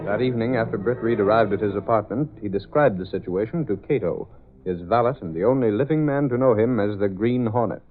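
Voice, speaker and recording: A man's voice: lowish voice, a little fast, narrow, tinny audio, close to an everyday voice. A man with an American accent narrating a radio serial as announcer, formal and declamatory in old-time broadcast style. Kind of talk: 1930s-40s radio scripted narration. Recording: band-limited vintage radio, faint music.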